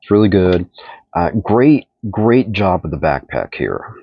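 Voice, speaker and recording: A middle-aged man with a stuffed-up voice, casually reviewing a toy in a relaxed, rambling way. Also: low-pitched, measured pace, creaky, no room sound. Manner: relaxed, rambling, repeats himself while handling the figure. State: stuffed up from a cold. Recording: dull, dry home recording.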